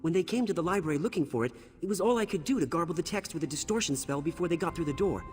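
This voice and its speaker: A man's voice: a little high, lively intonation, rapid-fire, soft background music, natural voice. A man playing an anxious, flustered scholarly archivist in a video game, explaining things earnestly. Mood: relieved, earnest. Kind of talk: video game character dialogue.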